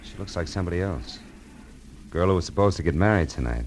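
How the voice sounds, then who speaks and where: An actor playing a wry, world-weary private detective in 1940s radio noir style, with clipped delivery. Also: male voice, low-pitched, expressive, quick pace, a little mellow, a little hiss or hum. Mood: probing, sardonic. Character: hard-boiled, wry male private detective. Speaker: narrator and lead actor. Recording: old radio recording with music.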